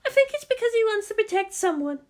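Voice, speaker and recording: A narrator voicing a gentle, girlish young woman assistant with a light, soft-hearted manner, in an audio story. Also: very high-pitched, very animated, quick pace, pitched above the performer's own voice. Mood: admiring.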